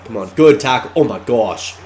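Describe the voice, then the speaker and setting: A congested man narrating his own video game match live, excitable and shouting at close plays. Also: medium pitch, normal speed. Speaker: gaming YouTuber. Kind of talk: live gameplay commentary.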